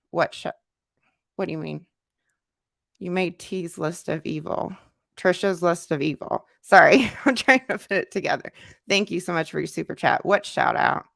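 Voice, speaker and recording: A woman's voice: lowish voice, creaky, fairly bright tone, dry recording, studio quality. A woman live streaming and chatting casually, congested from a cold, talking with emphasis and repeating words for stress.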